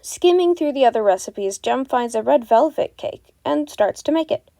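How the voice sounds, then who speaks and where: Mellow, soft-toned woman narrating a story. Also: fairly high pitch, animated, normal speed, gravelly, no room sound, clean studio sound. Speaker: podfic narrator.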